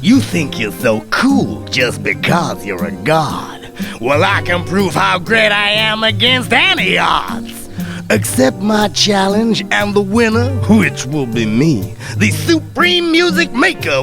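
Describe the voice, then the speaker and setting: A man playing a cocky, boastful satyr musician in comic radio drama, taunting in theatrical rhyme with a gravelly voice. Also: high voice, very animated, takes it slowly, music in the background, heavily acted.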